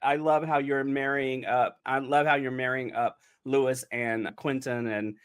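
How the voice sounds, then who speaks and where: A man's voice: medium pitch, steady tone, unhurried, smooth. A man hosting a casual behind-the-scenes podcast chat, jokey and rambling, with some casual swearing.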